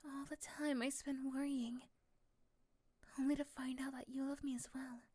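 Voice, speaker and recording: A woman playing a shy, nervous young woman in love in a romantic ASMR roleplay, speaking softly and hesitantly. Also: high voice, level tone, moderate pace, no echo, lightly acted. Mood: loving, amazed.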